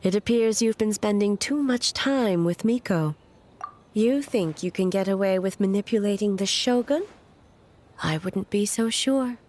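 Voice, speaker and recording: A woman voicing a stoic, aloof immortal shogun in a video game, speaking in a formal, grave and measured way over music. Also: medium pitch, darkish tone, natural voice. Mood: dryly wary. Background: background music.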